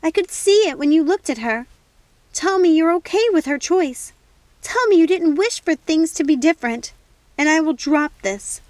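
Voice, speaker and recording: A woman's voice: high-pitched, expressive, moderate pace, a little mellow, no echo, pitched above the performer's own voice, low-quality recording. A woman voicing a distraught young woman in a storybook, pleading and defiant with urgent, impassioned delivery. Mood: indignant.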